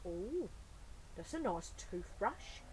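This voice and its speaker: A woman voicing a cheeky but soft-hearted male burglar in a children's storybook, muttering and exclaiming to himself in colloquial speech. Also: mid-range voice, very animated, slow, low-quality recording. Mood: sly, pleased. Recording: clear background noise.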